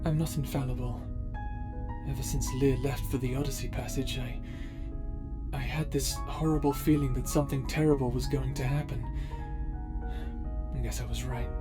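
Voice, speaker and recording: Man acting as stern space pirate officer, measured, formal, guilt-ridden, audio drama, prominent music behind. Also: medium pitch, fairly even intonation, natural voice. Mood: bitter, grieving. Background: background music.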